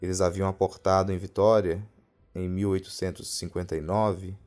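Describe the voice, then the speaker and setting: A Brazilian man with a fairly low voice tells a scripted horror story as a podcast narrator. His reading is measured and literary. Music plays behind him. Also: flat delivery, darkish tone. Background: background music.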